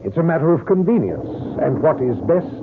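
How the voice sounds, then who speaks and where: A coldly calculating gentleman doctor in an old radio drama. He is suave and condescending, with lively, mannered swings of pitch, heard through a thin, narrow-band recording. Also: a little fast, noisy background. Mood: cold, self-satisfied.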